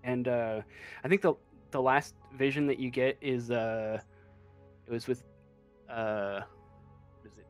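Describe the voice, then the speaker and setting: A man's voice: mid-range voice, steady tone, measured pace, pauses often, clear, resonant tone, faint music behind. A man improvising tabletop game narration as game master, hesitant and often searching for words.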